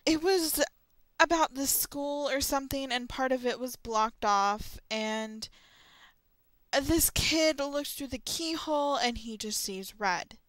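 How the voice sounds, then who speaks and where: A woman's voice: sits high, slow, a bit bright, dry recording. A young adult woman talking informally and a little tentatively, as in a casual solo audio log. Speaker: vlogger and host of an audio log. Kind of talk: casual rambling solo chat about horror stories.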